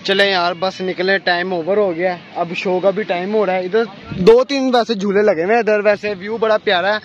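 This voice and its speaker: A man vlogging casually and excitedly on a phone while out and about at a show, repeating his catchphrases. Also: high voice, moderate pace. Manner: excited, keeps repeating "level" and "oye". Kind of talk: casual vlog chatter. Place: outdoors and at a show venue. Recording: lo-fi phone audio with street noise.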